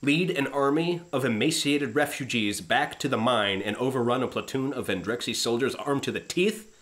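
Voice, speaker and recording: A man's voice: mid-range voice, moderate pace, fairly bright tone, close to an everyday voice. A man playing a pompous, sarcastic starship captain in a comic science fiction radio play, blustering and dismissive. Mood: scornful.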